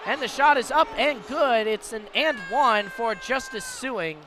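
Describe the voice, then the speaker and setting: A man's voice: very high-pitched, a little slow, a crowd in the background. An American man doing live radio play-by-play commentary of a basketball game. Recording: live broadcast with clear background noise. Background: basketball game ambience, bouncing ball.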